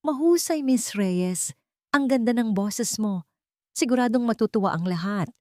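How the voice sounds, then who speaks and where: A woman's voice: fairly high pitch, lively intonation, normal speed, warm tone, no room sound, pitched above the performer's own voice, lightly acted. A woman as wealthy matriarch, cold voice, imperious, polite menace, audio drama villain, close dry sound. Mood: gracious approval.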